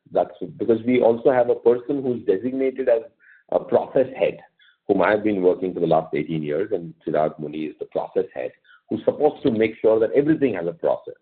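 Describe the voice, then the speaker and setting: Indian-accented male executive on a muffled call. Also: low voice. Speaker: company executive answering investor questions. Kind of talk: earnings call answers, unscripted explanation. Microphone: conference call line. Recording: dull lo-fi conference call line.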